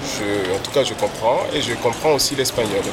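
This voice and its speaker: A man from Benin, answering a street interview hesitantly with many pauses. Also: mid-range voice. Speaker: passerby interviewee. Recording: loud street and traffic noise.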